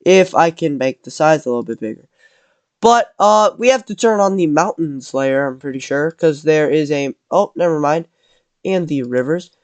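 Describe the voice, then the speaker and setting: High-voiced man with a cold. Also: lively intonation, normal speed, dry recording. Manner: rambling, corrects himself mid-sentence. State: has a cold. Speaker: channel host narrating a map scenario. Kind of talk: casual commentary over a map video.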